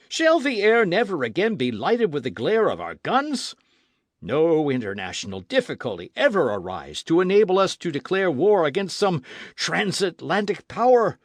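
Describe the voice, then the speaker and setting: Storybook part: a fiery, indignant old veteran, a man puts him on, loud and swooping. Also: high-pitched, dry recording, studio quality, voice pushed up, heavily acted. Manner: bellowing, indignant. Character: fiery elderly male gun-club veteran.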